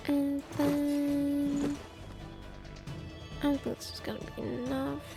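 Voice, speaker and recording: A woman's voice: high-pitched, level tone, slow, vocal fry. A woman streaming a game with casual commentary, murmuring short reactions and playful interjections to herself. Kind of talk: casual live gameplay commentary. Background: game music.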